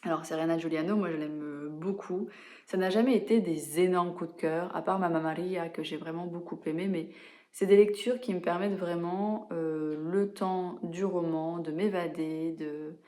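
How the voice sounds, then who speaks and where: A young woman chatting casually about books she has read, with many fillers and quick self-corrections. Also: on the low side, fairly even intonation, mellow. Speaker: book vlogger. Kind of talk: casual book review chat.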